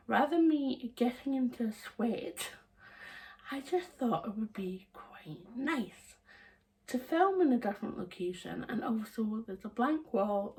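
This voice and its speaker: A woman hosting her sewing channel, chatting to camera from her living room with a hoarse, croaky voice and frequent laughs. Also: fairly high pitch, distant mic, rough recording. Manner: chatty, laughs often, meandering. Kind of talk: casual chat to camera.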